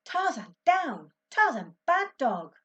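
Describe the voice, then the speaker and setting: Woman as young boy, high pitch, excitable, anxious, eager questions, storybook character. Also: slow, distant mic, muffled recording, exaggerated voice. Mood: panicked, shouting. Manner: eager, urgent exclamations and questions.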